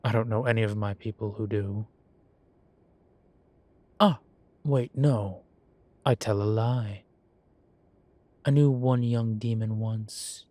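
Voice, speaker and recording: A man playing a composed, teasing demon professor in a roleplay audio, speaking in a formal, measured and wry way. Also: lowish voice, fairly even intonation, warm tone, no room sound. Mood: playful explaining. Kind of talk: scripted roleplay audio, one side of a conversation.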